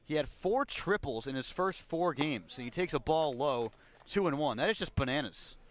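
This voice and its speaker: An American man works as a radio play-by-play announcer at a baseball game. His voice sits a little higher than average for a man, and he delivers live commentary on the game. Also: expressive, normal speed. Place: ballpark radio broadcast.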